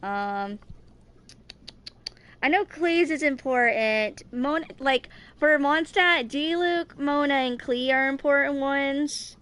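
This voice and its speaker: A woman in her twenties giving casual, rambling game commentary, thinking aloud in a halting, distracted way. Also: high-pitched, a bit bright. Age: 20s. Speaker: gaming video creator.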